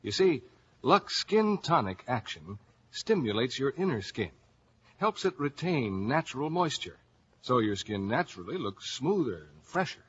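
A man's voice: mid-range voice, very animated, measured pace, a bit bright, slightly rough. A male radio announcer reading a 1950s commercial and station break, on a dull broadcast recording.

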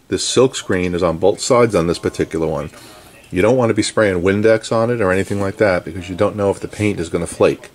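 A man giving a relaxed, chatty hands-on repair tutorial at his indoor workbench, talking to the camera while he works. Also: deep voice. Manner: relaxed, chatty, frequent "okay?" and "um". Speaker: vintage radio restorer.

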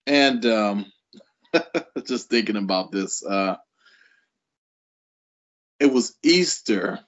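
Man, late 30s, podcast guest, animated storyteller, very lively pitch, muffled lo-fi sound. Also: drawn-out words. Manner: animated storyteller, laughing between thoughts. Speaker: guest writer. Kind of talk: casual interview storytelling. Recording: dull lo-fi audio.

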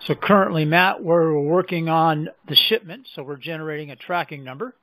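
A man with a slightly high voice presents a software demo in a measured, explanatory way. The sound is fairly dry and close, and the delivery follows a script. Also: no echo, rough recording. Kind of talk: scripted product demo narration.

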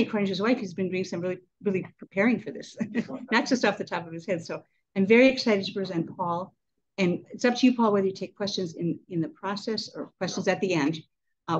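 A woman's voice: lowish voice, lo-fi sound, a little hiss or hum. A woman in her sixties, a club president holding a meeting, talking casually with a handheld mic, chatty with laughing asides. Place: indoor meeting room. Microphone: handheld mic, webcam from a distance.

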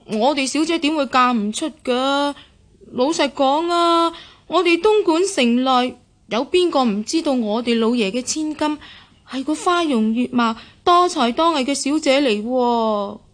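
A woman acting a clever, glib young maidservant in a Cantonese radio drama, chatty and flattering. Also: high voice, a little slow. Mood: cheeky flattering.

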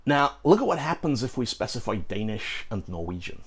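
A middle-aged British man hosting a scripted programming lesson, lively and playful, with asides to the viewer, filmed in a studio. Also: medium pitch, brisk, slightly rough. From Britain. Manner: animated, gesturing, playful asides. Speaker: programming video host. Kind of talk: scripted tech explainer. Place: studio in front of a green screen.

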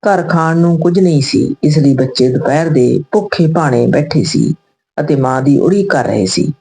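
A woman with a low-pitched, soft-edged mellow voice. She reads scripted narration as a storyteller, telling an emotional moral tale. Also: lively intonation, moderate pace, vocal fry, husky, poor audio.

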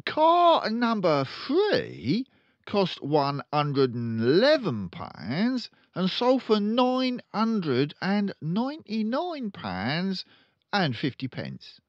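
A man acts out a storybook father who is a boastful, crooked car dealer. He is loud, bossy and emphatic, with a raised pitch and lively swoops. The sound is a little dull. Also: very slow, dry recording, full character voice. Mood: dictating. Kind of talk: children's storybook character voice.

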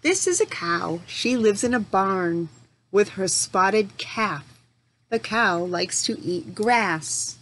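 A middle-aged woman leading a lesson for young children, asking simple questions, pausing for answers and encouraging them. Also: medium pitch, lively intonation, a bit bright, rough recording. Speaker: teacher.

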